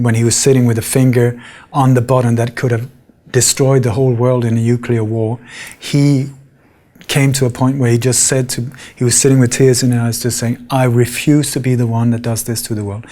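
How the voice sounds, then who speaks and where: Middle-aged guy from denmark giving a talk, pretty monotone, lots of uhs. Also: medium pitch. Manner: earnest, hesitant with frequent fillers. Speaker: conference speaker. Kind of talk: conference talk.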